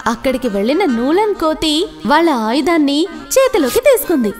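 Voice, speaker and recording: A woman's voice: high voice, very animated, fairly quick, darkish tone, faint music behind. A woman narrating a children's story in a scripted storytelling style.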